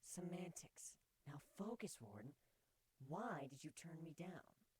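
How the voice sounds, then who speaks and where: A man voicing a playful, affectionate young female changeling pony with a breathy, coaxing voice in a fan fiction reading. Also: a little high, big pitch swings, slow, pitched above the performer's own voice, exaggerated voice. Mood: teasing, probing. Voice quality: breathy, hushed. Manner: teasing, coaxing, tender.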